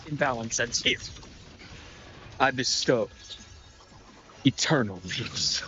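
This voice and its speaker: An actor playing a grim, coolly merciless fighter in a video game, speaking in measured, portentous phrases. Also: male voice, medium pitch, pushed and bright, slight background noise. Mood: cold, solemn. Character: grim, solemn male fighter, coolly merciless, deathly refined. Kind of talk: video game combat voice lines. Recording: dull game audio under music.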